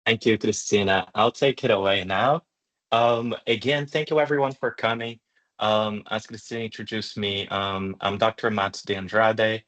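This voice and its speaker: A man with a fairly low voice leads an online webinar. He explains things in a measured lecture style, pausing hesitantly as he goes. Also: steady tone, a bit bright. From Brazil. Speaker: webinar presenter, journal deputy editor. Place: online video call.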